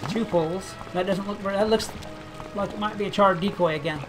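A game video creator giving casual gameplay commentary, thinking aloud in a relaxed, chatty way. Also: medium pitch, moderate pace. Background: game music.

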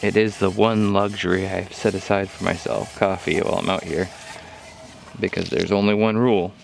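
Gravelly-voiced man joking through a bushcraft vlog. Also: low voice, fairly even intonation, normal speed, a little hiss or hum, rough recording. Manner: jokey, dry humor, chuckles at himself. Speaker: bushcraft vlogger. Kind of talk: casual outdoor vlog narration. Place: outdoors in woodland camp. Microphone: camera mic outdoors.

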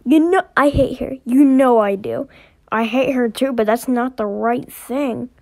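A woman with a fairly high voice performs dialogue for an amateur animation. She reads the parts of the characters herself, in the style of a homemade skit. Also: unhurried, a little mellow, no echo, clean studio sound. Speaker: amateur voice actor reading all characters.

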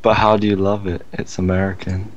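A man's voice: low-pitched, level tone, a little fast, muffled recording, crowd noise. A man in a casual group voice call, arguing back and pushing his own view, heard through a computer mic. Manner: argumentative, pushes back on others. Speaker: Skype call participant.

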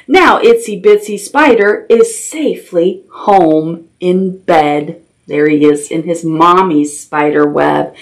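A middle-aged woman hosting a children's storytime, reading picture books aloud and adding simple, expressive questions and asides for toddlers. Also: lowish voice, slow. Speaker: children's library storytime host. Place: small decorated indoor room with puppets.

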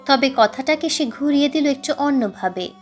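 High-voiced woman narrating a story. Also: expressive, moderate pace, faint music behind. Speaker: audiobook narrator. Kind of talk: dramatic scripted story narration.

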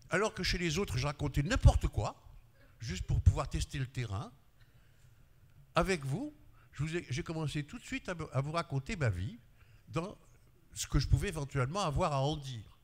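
An older man whose first language is Arabic, a pediatrician, telling a personal story at a panel tribute on a handheld mic. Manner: storytelling with shifts of pitch and loudness, gesturing. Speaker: speaker paying tribute, pediatrician. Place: large glass-walled conference hall. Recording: long reverb in a hall.

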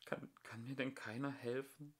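A man's voice: mid-range voice, steady tone, moderate pace, vocal fry, pitched above the performer's own voice. A man voicing a timid, anxious, weepy old female house in a children's story, plaintive and stammering. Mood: hushed fear.

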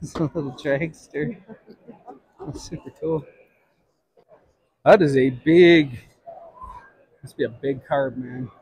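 Husky middle-aged man, casually chatting. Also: medium pitch, slow pace, pauses often, a little mellow, no room sound, low-quality recording. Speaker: vlogger shopping at a swap meet. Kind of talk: casual chat. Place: large busy indoor swap meet hall.

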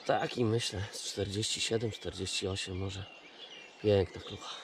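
Man muttering excitedly on a riverbank. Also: on the low side, moderate pace. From Poland. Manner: murmurs delighted praise half to himself, coaxing the fish. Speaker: angler vlogger. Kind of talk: excited running commentary while fishing. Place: outdoors on a riverbank. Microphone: action camera mic. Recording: outdoor camera audio with river and wind noise.

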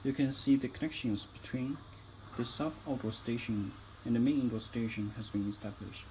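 A man's voice: mid-range voice, normal speed, warm tone, slight background noise, low-quality recording. A man giving instructional tutorial narration as a product demonstrator, phrasing each step carefully.

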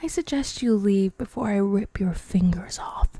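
A woman voicing a possessive, menacing young vampire bride in an intimate, teasing ASMR roleplay, breathy and often whispering. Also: medium pitch, moderate pace, dark tone, no echo, natural voice. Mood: cold, menacing. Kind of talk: ASMR girlfriend roleplay, improvised.

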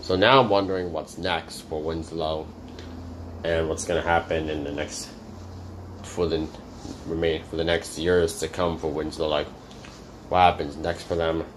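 A man's voice: very deep voice, little pitch movement, a little slow, background noise. A man hosting a reaction video, rambling casually and addressing viewers as "guys" in nearly every sentence. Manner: rambling, says "guys" constantly. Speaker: reaction channel host.

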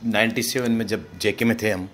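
A middle-aged man with a somewhat low voice describes his long years of service. He talks in an earnest, grateful manner. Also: steady tone, a little fast, fairly bright tone. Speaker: longtime staff member.

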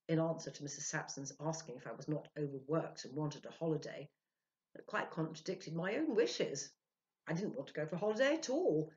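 A woman's voice: on the low side, darkish tone, voice pushed up. Woman playing an earnest, emphatic male medium. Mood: candid, defensive. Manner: emphatic, hedging, self-justifying. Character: earnest, self-important male spirit medium. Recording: dull lo-fi home recording.

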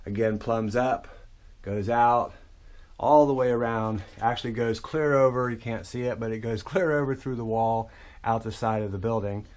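A man's voice: mid-range voice, steady tone, moderate pace, a little mellow. A middle-aged man, a drainage contractor, walking through a basement sump pump job as he works, in a plain, practical way. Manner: plain, practical explaining while working. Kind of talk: on-site how-to walkthrough. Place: dug-out basement crawl space. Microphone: head-mounted action camera.

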